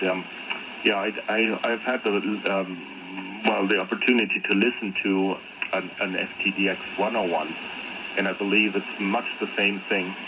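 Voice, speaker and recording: A man chatting conversationally as an amateur radio operator, heard over a shortwave radio transmission. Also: low voice. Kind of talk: casual ham radio exchange. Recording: noisy HF radio reception. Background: radio static noise.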